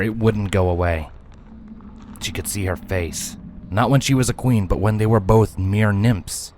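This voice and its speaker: A man narrates a fantasy story in a low-pitched voice. His reading is scripted and dramatic, with a solemn, deliberate delivery. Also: a little mellow.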